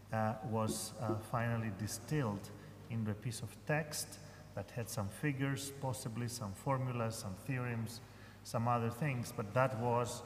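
A man speaking as a professor on a conference panel, hesitant and full of fillers and restarts. His voice rings out with the long reverb of a large hall, with a faint hum of room noise underneath. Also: medium pitch.

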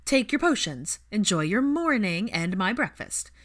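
A woman narrator plays a brash young best friend, nosy and gleefully teasing. The voice jumps high and loud, in audiobook storytelling. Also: very animated, a little slow.

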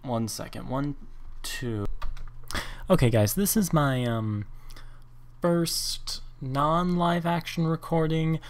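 Slow, musing man narrating gameplay. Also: medium pitch, clear, resonant tone. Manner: thinks aloud, counts blocks, rambling asides. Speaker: Minecraft let's play host. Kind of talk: casual gameplay commentary.

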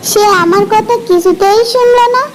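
Very high, squeaky cartoon village wife, insistent and scolding. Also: female voice, normal speed, background noise. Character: nagging stubborn village wife. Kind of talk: cartoon dubbing.

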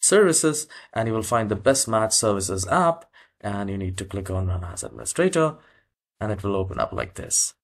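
Low-pitched man narrating a tech tutorial. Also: big pitch swings, moderate pace, vocal fry. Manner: instructional, repeats key steps. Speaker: tutorial host. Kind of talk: step-by-step software tutorial narration.

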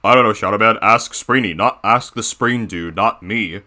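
A man's voice: on the low side, normal speed, sharp tone. A man voicing a boastful, bossy cartoon bear boss in rambling, self-satisfied improvised banter. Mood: casual, conspiratorial. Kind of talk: improvised character reaction banter.

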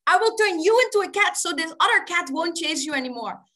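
A woman's voice: very high voice, expressive, a little fast, bright, forceful tone, full character voice. A woman voicing a meditating yogi in a told story, an exasperated but obliging male sage who scolds and exclaims. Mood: reassuring.

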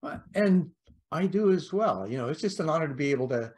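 A man's voice: on the high side, animated, brisk, a little mellow. A middle-aged man hosting a podcast, asking long rambling questions over a video call. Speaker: podcast host. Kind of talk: casual interview chat.

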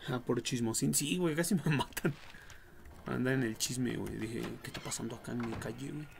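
A young man with a Mexican accent giving casual, slangy, foul-mouthed commentary on a horror game livestream, reacting out loud as he plays. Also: mid-range voice, fairly quick, creaky, music in the background. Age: young adult. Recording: noisy home livestream with clicks and typing.

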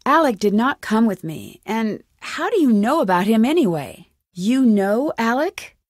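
Young american girl detective in a video game, kinda high voice, nosy n determined, super dry studio sound. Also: very animated, unhurried, dry recording. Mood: suspicious, firm. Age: young adult. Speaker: video game lead character. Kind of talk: scripted game dialogue.

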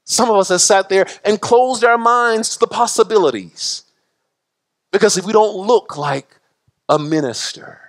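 A middle-aged man preaching a sermon from a church pulpit, impassioned and full of repetition, his pitch and loudness swinging widely. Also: measured pace. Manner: gestures broadly while preaching at a pulpit. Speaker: pastor. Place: church sanctuary.

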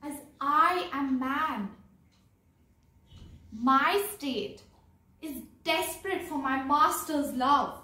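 A young woman acting a bewildered, lovestruck heroine disguised as a man in a Shakespearean monologue, theatrical, with long pauses. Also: high voice, bright, forceful tone, full character voice. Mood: anxious, despairing. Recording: echoey room, distant microphone.

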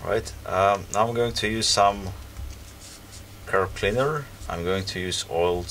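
Low slow gravelly guy, fixing stuff on a bench, lots of ums, mic kinda far off with background noise. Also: a bit bright. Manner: hesitant, many ums and restarts while working. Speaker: repair video host. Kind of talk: casual hands-on repair commentary. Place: workbench, indoors.

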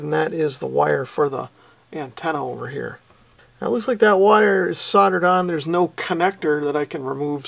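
A man's voice: fairly high pitch, creaky. An American man in his forties giving a plain-spoken, methodical repair tutorial as a channel host in a small workshop. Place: small indoor workshop with concrete walls.

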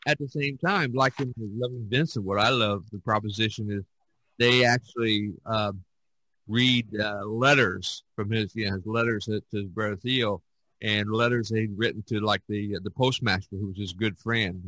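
Man, podcast host, bright forceful voice, emphatic storyteller, dry close sound. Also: mid-range voice, no echo, low-quality recording. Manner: animated, emphatic storytelling with many restarts. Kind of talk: casual discussion.

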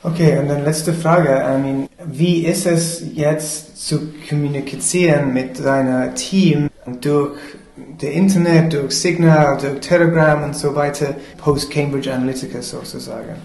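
A man hosting a radio interview in halting German, with many fillers and slips. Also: fairly high pitch, fairly even intonation, darkish tone, poor audio. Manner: halting non-native German with fillers and English asides.

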